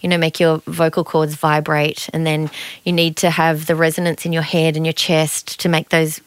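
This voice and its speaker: A middle-aged woman co-hosting a podcast, explaining ideas in a relaxed, chatty way. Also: low voice, moderate pace, smooth, no echo. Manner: explanatory, chatty, uses hedges like "you know". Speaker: podcast co-host. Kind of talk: casual chat.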